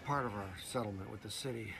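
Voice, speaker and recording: An actor playing a sardonic, flirtatious male ghost hunter in a comic video game, deadpan and wisecracking. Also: on the low side, fast, vocal fry, background noise. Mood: dry, official. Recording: loud music behind the voice.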